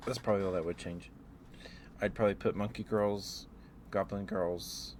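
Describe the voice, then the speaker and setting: Guy doing a rambly asmr stream, really low voice, halting, noisy background. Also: steady tone, darkish tone. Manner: rambling, hesitant.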